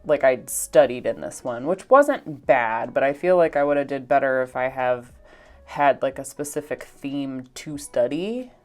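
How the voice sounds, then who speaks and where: A woman's voice: rumbling low, measured pace. A young woman with a congested, stuffed-up voice, chatting casually in a rambling way with many fillers and little laughs. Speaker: art vlogger. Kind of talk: casual voice-over while painting.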